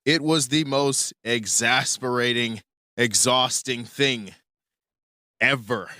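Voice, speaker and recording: A man's voice: mid-range voice, bright, forceful tone, no room sound, studio quality. A young man narrating his own life story in a casual, joking, self-mocking way, as a scripted storytime. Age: young adult.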